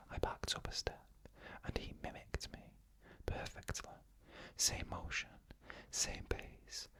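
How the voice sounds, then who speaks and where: Bright, breathy ASMR whisper narrator. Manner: fully unvoiced, breathy whisper throughout; slow, measured delivery with drawn-out pauses. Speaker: ASMR storyteller.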